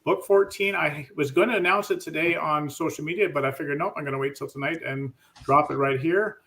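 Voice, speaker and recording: A man's voice: a little high, fairly quick, gravelly, pushed and bright, rough recording. A man co-hosting a live show, talking eagerly and casually about his own work. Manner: eager, laughing, conversational. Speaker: co-host and author. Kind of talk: casual chat.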